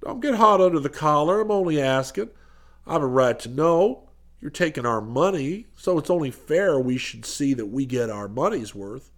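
A stout, cold-eyed American businessman from a comic storybook, acted by a male reader. His voice sits low, and he talks bluntly, with stubborn suspicion and a matter-of-fact air. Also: lively intonation, moderate pace. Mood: insistent.

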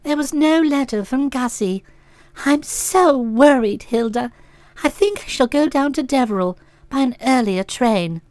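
A woman's voice: a little high, animated, unhurried, dry recording, voice pushed down. A woman voicing a gushing, sentimental young woman with a soupy, trickly, tremulous voice. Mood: worried.

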